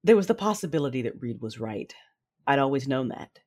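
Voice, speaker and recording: A woman playing a conflicted, commanding Sith noblewoman in an audio drama, measured and controlled, with dramatic delivery. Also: rumbling low, sing-song, a little mellow, heavily acted. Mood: reflective narration. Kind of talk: first-person audio drama narration and dialogue. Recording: music behind the voice.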